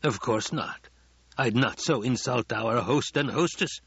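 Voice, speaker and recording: A man voicing a haughty, easily angered banker with a stiff, raspy delivery in an audiobook. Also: mid-range voice, a little slow, a bit bright, no echo, lo-fi sound, voice pushed up. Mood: stiff, cowed. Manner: stiff, muttering, rasping speech. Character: angry, haughty, cowed male banker at dinner. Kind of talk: audiobook character dialogue.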